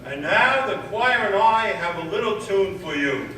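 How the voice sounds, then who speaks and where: A man acting a breezy male crooner hosting a 1940s radio show, folksy and jokey in his patter. His voice sits fairly high and comes from a distance in a hugely echoing hall. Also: lively intonation, normal speed, crowd noise. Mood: breezy, genial. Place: amateur stage show in a large echoey room. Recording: very echoey hall from far off, music.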